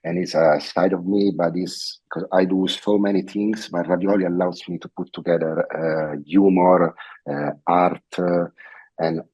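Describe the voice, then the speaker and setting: A middle-aged Italian man with an Italian accent, a guest chatting casually, halting and repeating his phrases with many fillers. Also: sits low, little pitch movement, a little mellow, slightly rough, highs cut off. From Italy. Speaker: guest artist. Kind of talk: casual interview chat.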